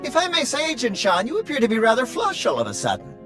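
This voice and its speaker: An actor voicing a fussy, formal, literal-minded droid in a video game. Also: male voice, very high voice, huge pitch swings, quick pace, exaggerated voice. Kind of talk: video game cutscene acting. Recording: loud music over the voice.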